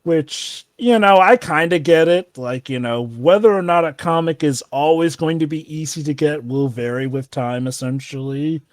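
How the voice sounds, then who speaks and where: Male co-host, fairly high voice, dry close sound, casual and wry, wandering commentary. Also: moderate pace, few pauses, no room sound. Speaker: co-host of a comic read-along.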